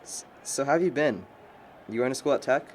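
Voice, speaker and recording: An actor plays a bookish young man in a small film drama. His delivery is low-key and a little hesitant, at an average pitch, over faint noise. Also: animated, fairly quick, natural voice. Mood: mild, curious. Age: young adult. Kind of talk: naturalistic amateur film dialogue.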